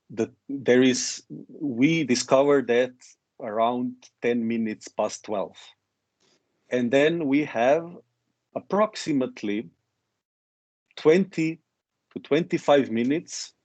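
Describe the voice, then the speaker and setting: A man's voice: medium pitch, darkish tone, no echo. A man presenting in a conference call, explaining a process step by step with frequent restarts. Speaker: meeting presenter. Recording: dry conference call audio.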